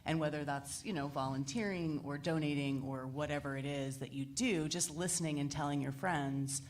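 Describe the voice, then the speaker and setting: An American woman broadcaster on a discussion panel, plain-spoken and matter-of-fact. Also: very deep voice. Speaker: radio broadcaster, panelist, ham radio operator.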